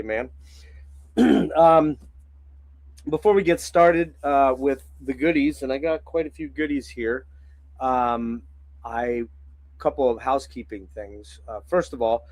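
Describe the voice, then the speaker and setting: A man hosting a casual livestream in a hoarse, phlegmy voice, rambling with many filler words and frequent throat clearing. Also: mid-range voice, measured pace, a little mellow, no room sound, low-quality recording. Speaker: livestream host.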